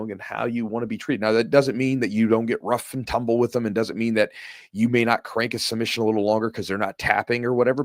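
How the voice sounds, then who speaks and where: A man's voice: fairly low pitch, dry recording, studio quality. A man hosting a podcast, telling a competition story in a casual, conversational way and often repeating his words. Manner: conversational, repeats words, lots of "you know". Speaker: podcast host and jiu-jitsu coach.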